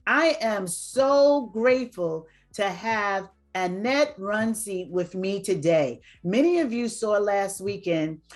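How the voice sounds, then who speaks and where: A woman hosting a show on female entrepreneurship, speaking in an upbeat, emphatic, motivational way. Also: mid-range voice. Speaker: podcast host. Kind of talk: hosted interview show.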